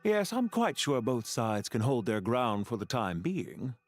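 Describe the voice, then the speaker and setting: A man voicing a smooth, calculating video game villain who speaks with polite, deliberate menace. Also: medium pitch, big pitch swings. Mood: quietly menacing. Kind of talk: scripted video game voice acting.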